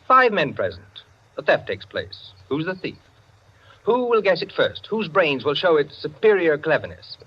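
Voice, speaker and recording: An actor playing an opinionated, self-satisfied clubman who lectures his friends in theatrical 1940s radio style, with savored pauses. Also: fairly high pitch, big pitch swings, slightly rough. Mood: smug, lecturing. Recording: dull, band-limited 1940s radio recording.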